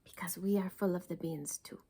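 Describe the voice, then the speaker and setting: A woman's voice: lowish voice, fairly even intonation, moderate pace, dark tone, husky. A woman voicing a small male storybook Chihuahua, explaining things urgently and emphatically with sprinkles of Spanish. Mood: matter-of-fact.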